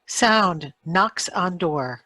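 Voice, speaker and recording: Woman, radio-play cue reader, lowish pitch, bright forceful tone, very slow clipped phrases. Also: expressive, no room sound. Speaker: sound effects reader. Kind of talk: reading out script sound cues.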